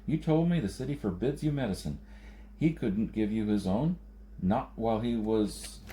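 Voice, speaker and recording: A man's voice: fairly low pitch, expressive, normal speed, dark tone, slight background noise, lightly acted. A man voicing an anxious, questioning wounded adventurer in a science fiction audiobook. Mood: puzzled, uneasy.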